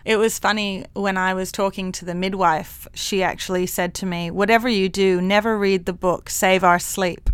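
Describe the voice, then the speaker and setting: A woman's voice: medium pitch, fairly even intonation, normal speed, hardly any pauses, smooth, dry recording. A woman psychologist with a mixed international accent, talking frankly and laughing often in an interview. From Hong Kong. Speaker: clinical psychologist guest. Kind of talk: interview conversation.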